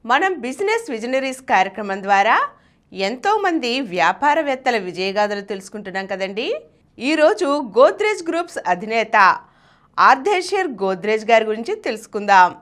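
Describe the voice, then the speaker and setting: High-pitched, animated Telugu woman narrator. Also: fairly quick. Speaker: channel presenter reading a biography. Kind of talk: scripted narration, dramatic storytelling.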